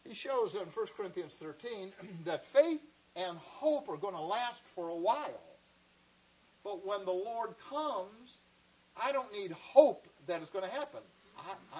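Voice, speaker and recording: A man with a high voice leads an informal Bible class, talking to his students in a loose, conversational way. The recording is muffled and lo-fi, made in a classroom. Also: slight background noise. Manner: conversational, chatty asides with the class. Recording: dull lo-fi recording.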